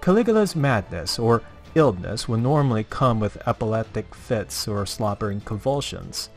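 Male narrator, lower register, theatrical showman delivery for dramatic storytelling, faint music underneath. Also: lively intonation, normal speed.

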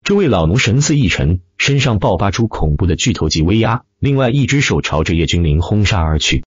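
Deep-voiced man narrating with lively swings. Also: fairly quick, breathy edge, no room sound, highs cut off. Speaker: audiobook narrator. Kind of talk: dramatic scripted web-novel narration.

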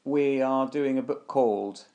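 A man with a British accent hosting a casual family podcast as a father, gently prompting his children with questions. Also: medium pitch, a little slow, lo-fi sound.

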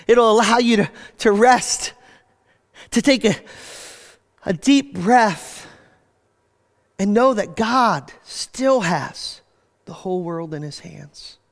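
A man preaching from a church stage, unhurried and deliberate, with long reverb trailing his words through the hall. He talks with animation, and his voice sinks low at the close of each phrase. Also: creaky. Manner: animated, gesturing, voice drops low at phrase ends. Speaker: pastor. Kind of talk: sermon. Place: church stage with screens, indoors.

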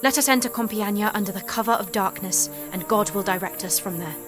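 A woman's voice: medium pitch, brisk, music in the background, lightly acted. A woman acting in an audio drama as a devout, determined young warrior maiden, earnest and resolute. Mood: resolute faith.

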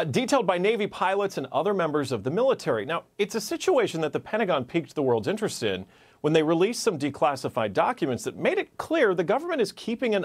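Lively male news correspondent, higher voice. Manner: wry, chatty asides in a scripted report. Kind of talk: scripted news report. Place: TV news studio.

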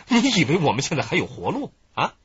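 An actor playing a ruthless, commanding gang boss in a radio crime drama, coarse and coldly mocking, with a husky voice. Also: male voice, fairly high pitch, wildly swooping pitch, normal speed, a bit bright, no room sound, lo-fi sound, heavily acted. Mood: cold, menacing. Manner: commanding, coarse, falsely reassuring.

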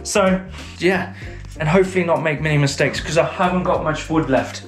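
A man's voice: fairly high pitch, normal speed. Chatty man in an echoey garage workshop. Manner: chatty, self-mocking, thinking aloud while working. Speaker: presenter. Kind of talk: casual workshop vlog. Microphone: head-mounted action camera. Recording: roomy garage echo with music behind.

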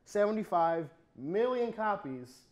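A man's voice: sits high, expressive, measured pace, lo-fi sound. Young man hosting with jokey energy. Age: 20s. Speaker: talk show host. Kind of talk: casual panel chat about video games. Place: small TV studio, seated round a table. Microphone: lapel mic.

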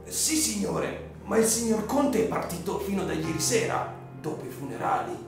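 A very echoey room with music underneath, and in it a humble country gatekeeper played by a man. His voice is creaky and gravelly, his manner deferential and plain, in radio drama style. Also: sits high, expressive, normal speed. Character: humble peasant gatekeeper, bearer of grim news.

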